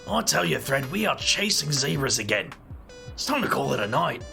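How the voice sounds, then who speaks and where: A man's voice: medium pitch, sing-song, moderate pace, vocal fry, music in the background. A man voicing a brooding, world-weary wizard investigator with a wry, dry way of talking. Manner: wry, brooding, muttering asides.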